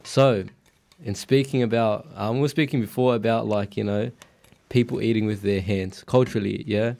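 A man with a fairly low voice that has a gravelly edge leads the interview. His tone is dark and mellow. He asks his questions calmly and keeps his guest going with short, encouraging acknowledgements. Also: normal speed.

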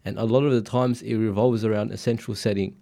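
A man with a fairly low voice that has a gravelly edge leads the interview. His tone is dark and mellow. He asks his questions calmly and keeps his guest going with short, encouraging acknowledgements. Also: fast talker.

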